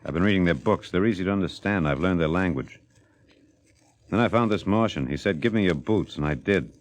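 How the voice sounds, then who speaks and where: An actor playing an obsessed renegade astronaut, quiet, deliberate and intense, in 1950s radio drama style. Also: male voice, low-pitched, animated. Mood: brooding. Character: brooding, obsessed renegade American astronaut turned killer.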